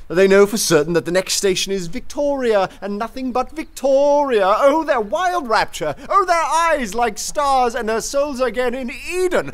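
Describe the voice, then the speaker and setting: An actor playing a fiery anarchist poet in a radio play, declaiming with theatrical, scornful rhetoric. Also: male voice, high voice. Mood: mocking, rapturous. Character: fiery red-haired anarchist poet and orator. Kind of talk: radio drama acting.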